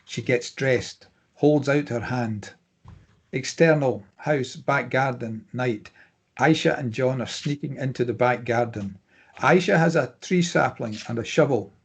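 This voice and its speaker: Guy narrating script directions, kinda raspy, medium pitch. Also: a little slow. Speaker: reader of stage directions.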